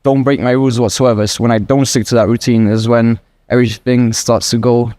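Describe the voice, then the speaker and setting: Young man talking earnestly, mellow tone. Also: medium pitch, level tone, brisk. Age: 20s. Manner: earnest, rambling with frequent "like" fillers. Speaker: guest trader. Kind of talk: casual interview answers.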